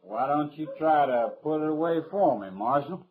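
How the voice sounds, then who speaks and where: An actor playing a cocky, menacing young cowboy gunslinger in 1950s radio western style, with a taunting drawl. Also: male voice, a little high, lively intonation, moderate pace, narrow, tinny audio, close to an everyday voice.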